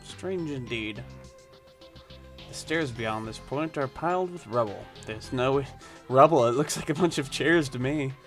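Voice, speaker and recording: A man's voice: medium pitch, a little slow, fairly bright tone, soft background music. A young man playing a video game, reading its text aloud and chatting loosely with many fillers and restarts. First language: not Japanese. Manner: rambling, hesitant, many fillers. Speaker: let's play gamer.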